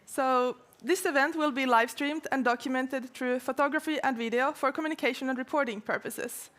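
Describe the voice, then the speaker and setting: High-voiced young woman hosting an event. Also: fairly quick, fairly bright tone. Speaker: event co-host, master's student. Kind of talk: presenting a live event. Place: live event venue with audience.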